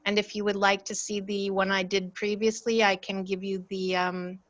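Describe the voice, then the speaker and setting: A woman who coaches storytelling, presenting an online webinar in a friendly, direct and slightly halting way over a video call. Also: medium pitch, little pitch movement, fairly bright tone, muffled recording. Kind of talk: casual online webinar talk.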